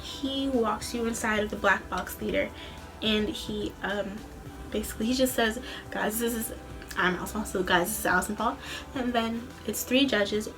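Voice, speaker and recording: A woman's voice: mid-range voice, normal speed, over music, poor audio. A young adult woman speaking as a vlogger in a casual, rambling, informal storytelling way. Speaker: vlogger, student singer.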